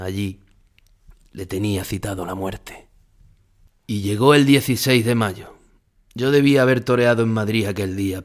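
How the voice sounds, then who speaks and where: Casting: low-voiced Spanish male actor for an older bullfighter haunted by grief, solemn first-person radio drama narration. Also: close to an everyday voice. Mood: solemn, reflective. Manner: solemn, emotional storytelling. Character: famous older bullfighter, grief-stricken, remembering his dead rival. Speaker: narrator playing a bullfighter recalling the past.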